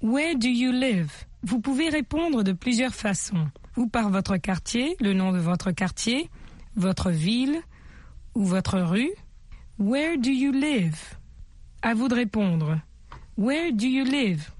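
A woman teaching a bilingual language lesson, with slow, patient, repetitive drilling of questions and model answers. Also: on the high side, animated, no echo. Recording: loud guitar music underneath.

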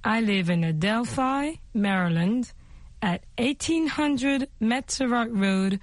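A woman teaching a bilingual language lesson, with slow, patient, repetitive drilling of questions and model answers. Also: on the high side, lively intonation, dry recording, clean studio sound. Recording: loud guitar music underneath.

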